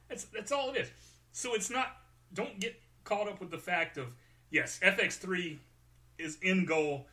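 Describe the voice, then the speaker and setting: A man's voice: on the high side, sharp tone. A man hosting a podcast alone, rambling casually and informally with joking asides. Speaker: podcast host.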